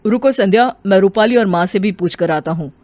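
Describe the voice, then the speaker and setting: A man's voice: high-pitched, a little fast, darkish tone, no room sound, lightly acted. Man playing an easygoing young husband, dry sound. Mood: agreeable.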